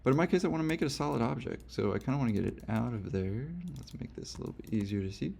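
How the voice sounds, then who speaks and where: A hoarse young man giving a casual, rambling software tutorial, correcting himself often as he goes. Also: mid-range voice, rapid-fire, slight background noise. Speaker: instructor. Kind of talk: screen-recorded software tutorial.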